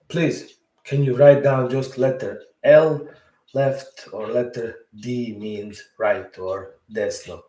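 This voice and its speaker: A man's voice: on the low side, darkish tone, roomy sound, recorded from a distance, poor audio. A man from Bosnia whose first language is Serbo-Croatian, telling a story at home in a rambling, casual way, correcting himself and chuckling as he goes. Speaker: podcast host. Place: room at home.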